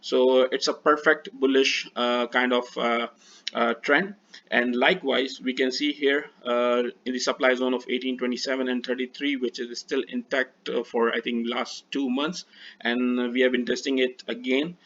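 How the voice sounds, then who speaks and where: A man's voice: mid-range voice, little pitch movement, normal speed, fairly bright tone. A man whose first language is Urdu, walking through trading charts in a hesitant manner with frequent fillers. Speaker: trading analyst. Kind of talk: screen-share chart walkthrough. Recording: dull lo-fi voice recording.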